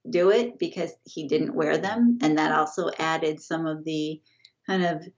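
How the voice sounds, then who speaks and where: A middle-aged woman with a lowish voice talks as a guest on a video call, stopping and restarting her sentences often. The audio is dull and lo-fi. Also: steady tone. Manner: halting, many restarts and fillers. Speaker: guest, family estate representative. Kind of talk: casual interview over video call. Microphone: video call, earbuds.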